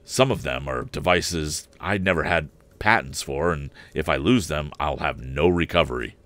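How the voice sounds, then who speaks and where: A man's voice: very deep voice, moderate pace, a bit bright. A man voicing an agitated, impatient elderly inventor and father in a storybook reading. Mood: worried, agitated. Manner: agitated, insistent.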